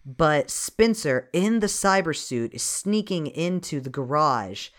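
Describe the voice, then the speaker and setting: Guy recapping a movie on a podcast, swears a lot, dry jokes, smooth clear voice. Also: a little high, big pitch swings, takes it slowly, a bit bright. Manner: profane, wry, reads from notes. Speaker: podcast co-host. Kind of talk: casual movie recap chat.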